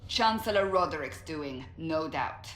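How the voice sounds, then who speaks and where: An actress playing a stern, dutiful warrior woman in a video game cutscene, speaking in a firm, formal, measured way. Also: sits low, lively intonation, a bit bright. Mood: grave, resolute. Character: stern, dutiful warrior woman leading a fantasy war council. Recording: roomy echo, noisy ambience.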